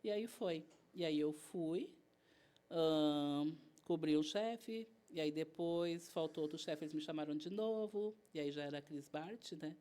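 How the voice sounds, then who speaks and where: Warm-voiced southern Brazilian woman. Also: deep voice, measured pace. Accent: southern Brazilian Portuguese. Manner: chatty, retells events by acting out dialogue. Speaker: guest chef. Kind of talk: casual interview storytelling.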